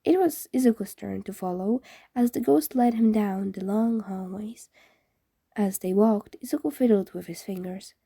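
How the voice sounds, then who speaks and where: A woman's voice: medium pitch, normal speed, vocal fry, warm tone, husky, no room sound, studio quality. A woman reading fan fiction aloud as a scripted story narration.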